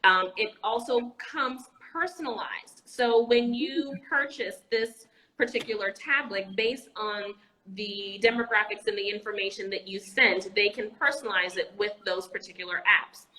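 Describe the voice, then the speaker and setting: A woman's voice: mid-range voice, normal speed, pushed and bright, rough recording. A woman, an educator and entrepreneur, speaking as an interview guest over a video call, enthusiastic, with frequent fillers and restarts. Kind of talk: casual interview talk. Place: Anguilla.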